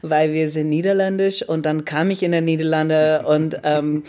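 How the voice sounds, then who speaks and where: A man's voice: on the high side, level tone, moderate pace, a little mellow. Hesitant man speaking German. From Netherlands. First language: Dutch. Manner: hesitant, many fillers. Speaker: guest, comic artist. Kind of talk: interview answers.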